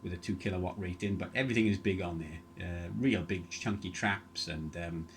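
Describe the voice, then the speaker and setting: A man with a fairly low voice talks casually over ham radio. He rambles through technical details at length. The recording carries a faint layer of noise. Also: normal speed. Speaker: amateur radio operator.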